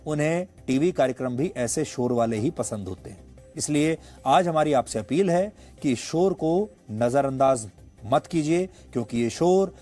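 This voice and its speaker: A man delivers scripted news commentary as an anchor. His voice sits a little high for a man, and music plays behind it. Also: expressive, a little slow, warm tone. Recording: studio voice over background music. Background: background music.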